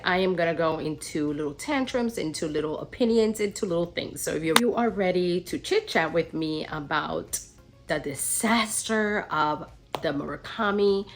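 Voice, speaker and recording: Middle-aged woman vlogger, low voice, nasal from a cold, chatty and meandering. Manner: rambling, many ums and restarts. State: nasal from a recent flu. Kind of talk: casual chat to camera.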